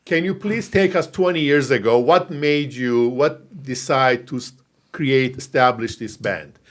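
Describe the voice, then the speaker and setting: A man hosting a podcast, giving a polished intro and then asking questions in a halting way. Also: medium pitch. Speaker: podcast host. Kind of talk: podcast interview. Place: podcast studio.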